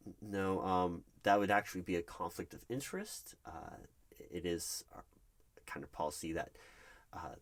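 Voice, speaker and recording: A man's voice: low voice, moderate pace, lightly acted. A man playing a nervous, flustered recruiting manager in an interview roleplay, stammering and awkwardly polite. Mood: flustered, hesitant. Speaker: roleplay performer. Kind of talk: one-sided interview roleplay.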